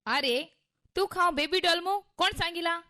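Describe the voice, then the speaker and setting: A woman playing a brisk, indignant government garbage collector with a Goan accent in a radio play. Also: very high-pitched, pushed and bright. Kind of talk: Konkani radio play acting.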